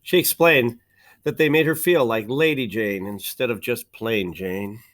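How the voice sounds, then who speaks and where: Guy with a mid-range voice reading a kids picture book out loud, measured storytelling, leans on the key words. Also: mid-range voice. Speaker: storybook reader. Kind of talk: expressive read-aloud of a children's picture book.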